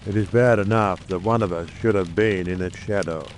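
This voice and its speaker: A man playing a grave, haunted husband with a dark secret in an audio drama, foreboding in manner. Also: low-pitched, fairly even intonation, brisk, slight background noise, natural voice.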